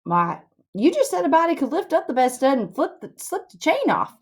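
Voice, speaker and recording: A woman's voice: high voice, sing-song, fairly quick, voice pushed up. A woman voicing a practical, plain-spoken boy sidekick in a storybook reading, questioning in rustic dialect. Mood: puzzled.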